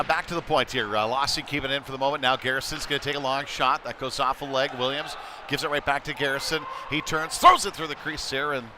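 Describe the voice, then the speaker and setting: Bright-voiced man calling youth hockey. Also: on the high side, a little hiss or hum. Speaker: play-by-play hockey commentator. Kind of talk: live youth hockey play-by-play commentary. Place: indoor ice rink.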